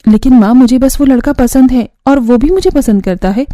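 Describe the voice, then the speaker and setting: A woman's voice: high voice, brisk, warm tone, close to an everyday voice. A woman playing an outspoken teenage schoolgirl daughter in a family cartoon, earnest and pleading. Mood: earnest protest. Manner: earnest, protesting. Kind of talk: Hindi cartoon family drama acting.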